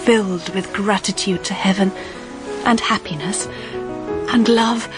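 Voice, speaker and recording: An actress playing an earnest, idealistic young Victorian governess in a radio drama, speaking in a measured, heartfelt, literary way. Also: female voice, mid-range voice, steady tone, music in the background, natural voice. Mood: tender, rapt. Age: young adult. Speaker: actress and narrator of a period drama. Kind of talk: period radio drama acting and narration.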